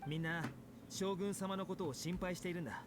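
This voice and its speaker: A woman voicing a gentle, courteous young character in an anime game, sincere and polite. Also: lowish voice, rapid-fire, soft background music, close to an everyday voice. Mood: grateful concern. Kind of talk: Japanese anime game voice acting. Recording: game audio under clear background noise.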